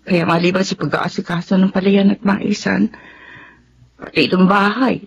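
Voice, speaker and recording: A woman's voice: medium pitch, steady tone, normal speed, gravelly, close to an everyday voice. Actress as a grieving young woman, muffled radio drama. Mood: weary, sorrowful. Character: grieving, grateful adult woman, Remedios, orphaned landowner. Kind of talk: Filipino radio drama acting. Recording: dull radio recording with background noise.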